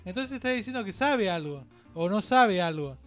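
An Argentine man co-hosting a radio show in rambling, opinionated chat, halting, digressing and repeating himself. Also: sits high, expressive, a bit bright, soft background music.

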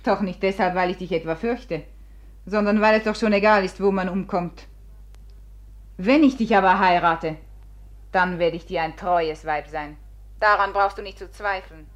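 A woman's voice: mid-range voice, moderate pace, close to an everyday voice. A woman playing a proud, scornful young beauty. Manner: scornful, sharp. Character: proud, bitter young Russian beauty, reckless. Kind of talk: 1950s radio drama acting.

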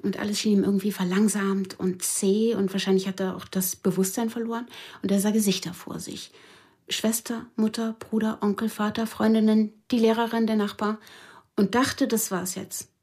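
An actress playing a woman who recounts a dramatic memory in a radio crime drama, in a husky voice. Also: medium pitch, level tone, moderate pace, darkish tone, lightly acted. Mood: absorbed, grave. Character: woman recounting a man's near-death crash story.

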